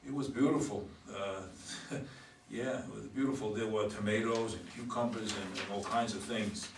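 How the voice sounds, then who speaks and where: A man's voice: lowish voice, steady tone, lightly acted. Man acting a stammering homeless man, echoey room. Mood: wistful. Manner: stammering, halting, hesitant. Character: homeless man, flustered, evasive, humble and wistful. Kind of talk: stage play read aloud by readers' theater. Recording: echoey room, distant mic, faint noise.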